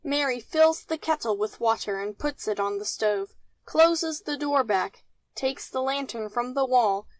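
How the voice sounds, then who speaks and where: Dry-sounding, higher-pitched woman narrator. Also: no echo, low-quality recording. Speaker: narrator of stage directions. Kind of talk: scripted narration, reading stage directions.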